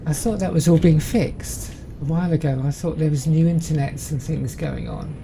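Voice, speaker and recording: A woman's voice: sits low, moderate pace, creaky, warm tone, a little hiss or hum, poor audio. A woman with a Southern English accent chatting casually as she plays a game, rambling with plenty of ums. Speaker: game streamer. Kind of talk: casual let's-play commentary.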